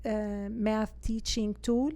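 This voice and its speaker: A woman from Syria with an Arabic accent, a native Arabic speaker, talking earnestly and haltingly as a guest in a conversational interview. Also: mid-range voice, little pitch movement, dark tone. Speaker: interview guest, real estate agent.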